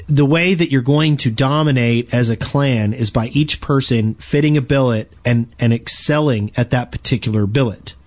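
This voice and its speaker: A man co-hosting a gaming podcast, explaining his points casually and haltingly, often doubling back on his words. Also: mid-range voice. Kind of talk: casual panel discussion about video games.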